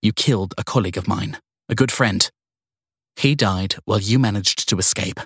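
A man voicing a bold, steely woman detective in an audiobook, speaking in a defiant, pointed, deliberate way. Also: fairly low pitch, husky, no room sound, clean studio sound. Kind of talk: audiobook character dialogue.

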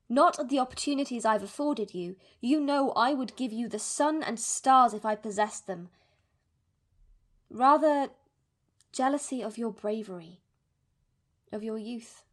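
A woman playing an anxious, wounded, fiercely loving middle-aged mother, reading her letters in a formal, measured way in a fantasy audio drama. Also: on the high side.

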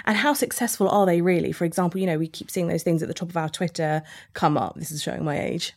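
A woman's voice: fairly low pitch, quick pace. A woman hosting a podcast in a conversational style, inquisitive and steering the discussion. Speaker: lead podcast host.

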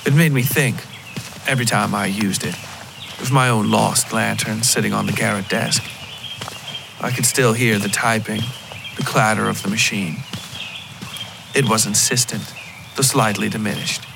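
Low, gravelly man narrating dark fiction. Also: background noise. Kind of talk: scripted first-person dark fiction narration.

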